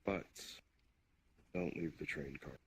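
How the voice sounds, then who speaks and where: Man acting a demon king, very low voice, measured, formal, politely authoritative, roleplay game, noisy recording. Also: full character voice. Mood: courteous, commanding. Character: ancient demon king, courteous, measured, quietly commanding. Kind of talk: improvised online roleplay. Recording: clear background noise.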